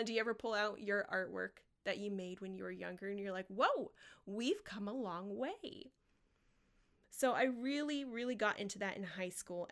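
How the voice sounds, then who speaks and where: High-voiced, enthusiastic American woman podcaster. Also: fairly bright tone. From Washington state, United States. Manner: enthusiastic, encouraging, addresses listeners directly. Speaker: podcast host and marketing coach. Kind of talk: solo podcast monologue, personal storytelling.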